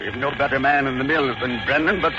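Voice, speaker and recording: An actor playing a wealthy older steel mill owner, gruff and decisive, in 1940s radio drama style. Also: male voice, mid-range voice, brisk, over music, natural voice. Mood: shrewd, decisive. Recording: dull, hissy 1940s radio recording.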